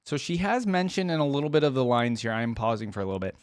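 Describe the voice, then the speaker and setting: A man's voice: medium pitch, very animated, very fast, clear, resonant tone, no room sound. Dry-recorded man, casual reaction host. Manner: musing, thinking aloud, repeats phrases he hears.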